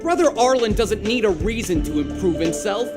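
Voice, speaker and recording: An actor voicing a devoted, excitable young admirer in a video game, emphatic and reverent, over loud music. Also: medium pitch, normal speed, close to an everyday voice. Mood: admiring, earnest. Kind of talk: scripted video game dialogue.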